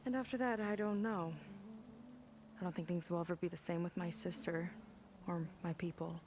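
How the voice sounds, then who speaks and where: An actress playing a young, wry, plainspoken and loyal sailor in a video game cutscene, with a natural delivery. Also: female voice, fairly low pitch, steady tone, moderate pace, noisy background, natural voice. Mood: reflective, uncertain.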